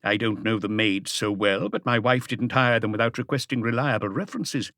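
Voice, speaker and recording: A man's voice: on the low side, a little fast, fairly bright tone, no room sound, studio quality. A man voicing a wealthy, guarded and haughty Dutch art collector in an audiobook, polite but defensive. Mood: measured. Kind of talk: audiobook character dialogue.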